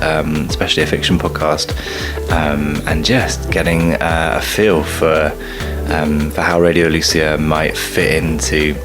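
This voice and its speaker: Guy with a low voice doing a chatty vlog, bit halting, music really loud behind him. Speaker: theatre director vlogging the day. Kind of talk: casual vlog talk to camera.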